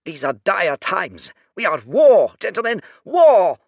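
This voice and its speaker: A shrill, squawking general pushes his case in a high, strained voice, as a man puts him on. He sounds loud and agitated, and the sound is dry and close. This is storybook narration. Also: sing-song, moderate pace, creaky, no room sound, full character voice. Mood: indignant. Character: shrill, agitated male general, war-hungry.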